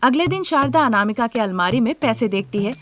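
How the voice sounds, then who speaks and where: A woman's voice: fairly high pitch, expressive, a little fast. A woman reading scripted story narration as a narrator.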